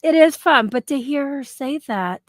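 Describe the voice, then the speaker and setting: A woman's voice: high-pitched, lively intonation, moderate pace. A woman in her fifties chatting casually in an earnest way. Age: 50s. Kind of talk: casual chat.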